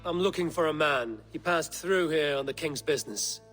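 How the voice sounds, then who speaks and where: An actor playing a blunt, wary Viking warrior in a video game, talking in terse, stern, impatient lines. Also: male voice, on the high side, normal speed, fairly bright tone, soft background music, natural voice. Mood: pressing. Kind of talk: video game drama acting.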